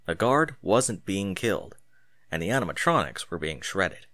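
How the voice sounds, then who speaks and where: Animated male story narrator, very dry sound. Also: deep voice, moderate pace, no room sound. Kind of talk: scripted dramatic story narration.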